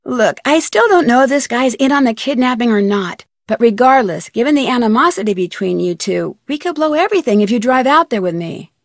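A young American woman playing a plucky, determined detective in an adventure game, speaking earnestly and clearly. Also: a little high, animated, fairly quick, few pauses, dry recording, clean studio sound. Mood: earnest, concerned. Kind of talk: scripted game dialogue.

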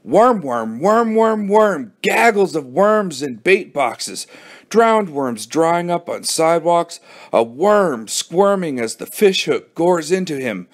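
A man's voice: mid-range voice, animated, normal speed, a little mellow, lightly acted. A man voicing a queasy, brooding boy in a children's audiobook, weary and dramatic in his dread.

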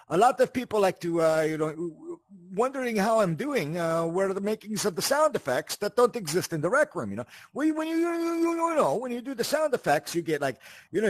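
A man's voice: sits high, dry recording. A man hosting a casual game tutorial, rambling, repeating words and often checking in with the listener. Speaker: host of a video game tutorial channel. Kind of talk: casual spoken tutorial with asides.

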